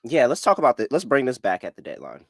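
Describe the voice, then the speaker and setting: A man's voice: medium pitch, wildly swooping pitch, quick pace, no echo, studio quality. A man hosting a sports betting podcast, talking in a casual, slangy way. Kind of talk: casual chat, ad reads and betting picks.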